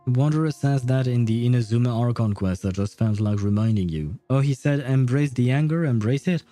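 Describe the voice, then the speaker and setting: A man chatting casually on a livestream while gaming, with a halting, rambling, amused way of talking. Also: mid-range voice, warm tone, soft background music.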